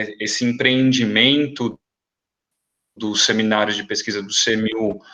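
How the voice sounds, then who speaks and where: A man's voice: lowish voice, fairly bright tone. A man organizing a research seminar, giving earnest, hesitant thanks on a video call. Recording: dull lo-fi video call audio.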